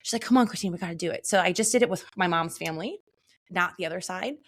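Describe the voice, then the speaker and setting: A woman co-hosting a podcast, chatting casually and telling stories in a loud, animated way as a wedding photographer. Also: lowish voice, rapid-fire. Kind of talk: casual chat.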